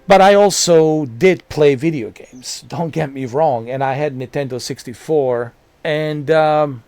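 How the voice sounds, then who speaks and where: A man's voice: fairly high pitch, a little slow, darkish tone, clean tone, no echo. A man in his forties, hosting a gaming channel and talking casually to his viewers, rambling and repeating himself with many fillers. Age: 40s. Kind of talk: casual talk to camera.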